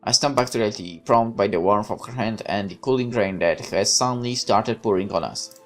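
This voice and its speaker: A man's voice: on the low side, fairly quick, faint music behind. A man reading a visual novel aloud in the first person and breaking off for hesitant, rambling asides full of fillers. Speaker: game streamer.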